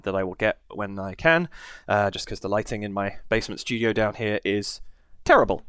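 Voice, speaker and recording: A man's voice: on the low side, a bit bright, clear, resonant tone, dry recording. A man hosting his reaction channel from a basement studio, chatting casually with a head cold and rambling through many fillers. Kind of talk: casual to-camera chat.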